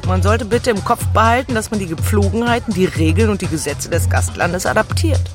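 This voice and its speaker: An actress playing a blunt, worldly German expatriate manager, talking casually and dropping in bits of English, with music underneath. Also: female voice, medium pitch, fairly quick, lightly acted. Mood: matter-of-fact, hardened. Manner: blunt, colloquial, drops in English phrases. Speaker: turnaround manager. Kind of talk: documentary-style monologue, casual talk.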